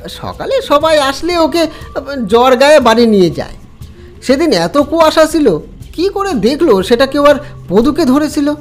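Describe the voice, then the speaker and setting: A man voicing a gossiping village man in a horror audio story, animated and full of questions. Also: very high-pitched, normal speed, voice pushed up. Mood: gossipy disbelief.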